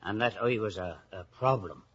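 An actor playing a gruff older Irish policeman father with wounded pride and a husky voice, in a radio drama. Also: on the low side, moderate pace, fairly bright tone. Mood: indignant. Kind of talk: 1950s radio drama acting. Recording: muffled vintage radio broadcast.

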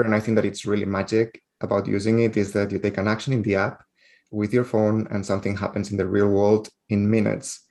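Spanish-accented man with a gravelly low voice. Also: darkish tone. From Spain. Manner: hesitant, many fillers and restarts. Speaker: guest. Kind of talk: podcast interview.

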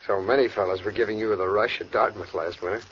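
An actor playing a smooth, calculating young gang leader in an old radio crime drama, speaking casually with a slick politeness. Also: male voice, lowish voice, fairly even intonation, fast talker, a bit bright, natural voice. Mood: smooth, polite. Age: young adult. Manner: casual slangy, turns politely ingratiating. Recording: dull, noisy vintage radio recording.

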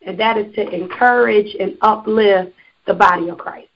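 A woman in her twenties, a gospel singer guest, speaks earnestly in a testifying way, slowing down on the points she stresses. The sound is somewhat dull and lo-fi. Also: mid-range voice, steady tone. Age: 20s. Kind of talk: radio interview.

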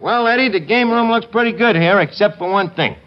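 An actor playing a scheming, wisecracking lowbrow tavern manager with a New York accent in 1950s radio comedy, using ungrammatical, streetwise speech. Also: male voice, a little fast, soft background music, close to an everyday voice. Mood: breezy, scheming. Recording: noisy, muffled old radio broadcast recording.

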